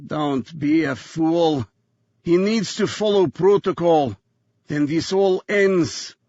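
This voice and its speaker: A man voicing a dying foreign gunman in an audiobook thriller, with a heavy foreign accent and slow, halting, cryptic phrases. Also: a little high, darkish tone, dry recording, muffled recording. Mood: labored, ominous.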